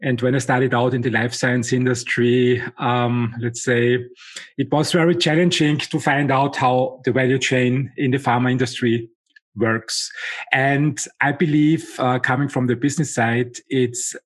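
A man hosting a podcast interview, speaking in a measured, hesitant way with many fillers. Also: mid-range voice, steady tone. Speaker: podcast host.

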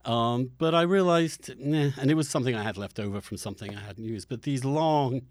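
A middle-aged man speaks as a podcast host in a mid-range voice with very little room sound. He argues his points with emphasis, halting and circling back to restart phrases as he goes. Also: mid-range voice, dry recording, studio quality. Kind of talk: conversational interview with opinionated commentary.

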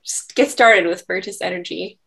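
A woman's voice: lowish voice, normal speed, gravelly, a bit bright, a little hiss or hum, poor audio. A woman hosting a podcast, politely asking her guests questions and prompting them with short acknowledgements. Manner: polite, brief backchannels. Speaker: podcast host. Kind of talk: interview questions.

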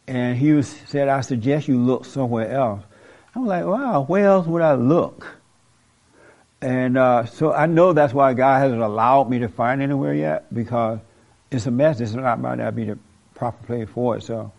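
A man's voice: mid-range voice, darkish tone. A man hosting a talk show, preaching in an emphatic, repetitive way with the odd joke and laugh. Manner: emphatic, repeats key lines, jokes and laughs. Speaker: host of a call-in talk show. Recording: dull lo-fi audio.

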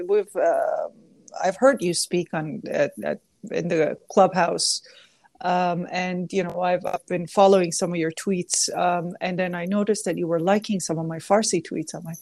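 A woman's voice: fairly low pitch, a little mellow, no room sound. A woman born in Iran, a guest scholar in a podcast interview, answering thoughtfully and often correcting herself. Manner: thoughtful, self-correcting, many fillers.

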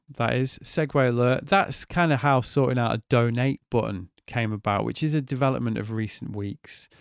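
A man's voice: mid-range voice, clean tone, dry recording, clean studio sound. A man in his forties, hosting a podcast in a chatty, candid monologue and swearing freely. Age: 40s. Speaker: podcast host. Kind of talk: casual solo podcast monologue.

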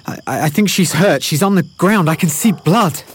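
A man's voice: on the high side, normal speed, slight background noise, close to an everyday voice. An actor playing an anxious, polite scientist in a naturalistic audio drama, hesitant in his speech. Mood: shocked, urgent. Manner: hesitant, stammering when alarmed. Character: anxious scientist, a husband, polite but rattled.

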